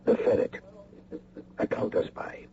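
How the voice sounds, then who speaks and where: An actor playing a suave, menacing spy handler with formal, measured courtesy in a radio mystery drama. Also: male voice, natural voice. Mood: ominous, calculating. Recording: muffled, noisy old radio broadcast.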